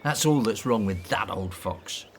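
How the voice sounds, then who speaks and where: An actor playing a breezy, flippant male disciple in a radio drama, brisk and dismissive with a theatrical relish for gossip. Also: lowish voice, animated, slight background noise. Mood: dismissive, scornful.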